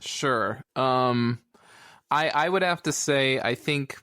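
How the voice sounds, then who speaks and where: A man's voice: medium pitch, slow pace, sharp tone, clean tone, dry recording. Man chatting casually, dry podcast recording. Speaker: podcast co-host. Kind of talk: casual chat about comic books.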